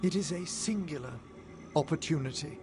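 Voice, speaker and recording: A man's voice: a little high, normal speed, lightly acted. A man playing a courteous, reflective older necromancer scholar in a video game, with formal, theatrical, erudite phrasing. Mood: earnest, reflective. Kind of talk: video game cutscene acting. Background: music.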